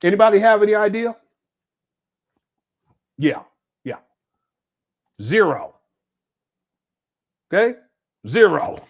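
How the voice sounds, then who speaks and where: A man's voice: sits high, big pitch swings, slow pace, long pauses. A man giving casual reaction commentary on a clip, exasperated and laughing, with slangy asides.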